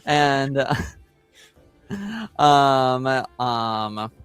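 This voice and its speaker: A man running a tabletop game as game master, improvising narration in a halting, thinking-aloud way. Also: mid-range voice, steady tone, fairly bright tone, clear, resonant tone, soft background music.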